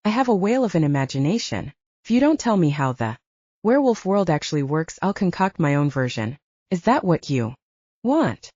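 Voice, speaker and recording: Audiobook heroine, female narrator acting: wry, persistent young woman, animated intonation, dry and slightly dull recording. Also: lowish voice, brisk, no echo. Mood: persistent, teasing. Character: plucky, argumentative young woman hiker with wry wit.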